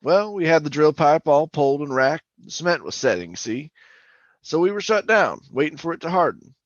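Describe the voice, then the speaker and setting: An actor playing a garrulous middle-aged former oil-rig roughneck, telling his tale in a rambling, folksy radio drama manner. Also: a little high, lively intonation, normal speed, dry recording, dull audio, lightly acted. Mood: explanatory. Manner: rambling, folksy, colloquial storytelling. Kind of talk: old-time radio drama monologue and dialogue.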